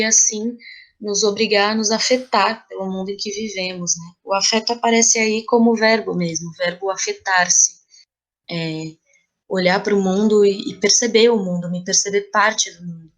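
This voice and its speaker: A woman with a Brazilian accent speaking reflectively as an interview guest about creative work, thoughtful and searching for words as she goes. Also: medium pitch.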